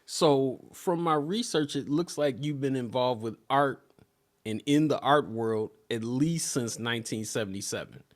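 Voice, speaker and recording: A man hosts an interview and asks his guest questions. He answers her with short, encouraging words. His voice sits at a middle pitch for a man. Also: measured pace. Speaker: interview host. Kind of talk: conversational interview.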